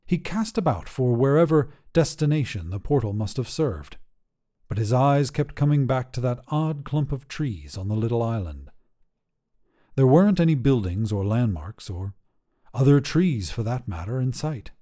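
A male podcast host reads a short story in an expressive way. His voice is mid-pitched and mellow, with very animated intonation that rises and falls through each sentence. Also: normal speed. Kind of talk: scripted short story narration.

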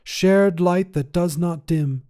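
A man voicing a poised, two-hundred-year-old woman space commander in a science fiction story, speaking in a measured, formal, gently wistful way. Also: high voice, pitched above the performer's own voice. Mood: fond, reflective. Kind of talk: acted dialogue in science fiction story reading.

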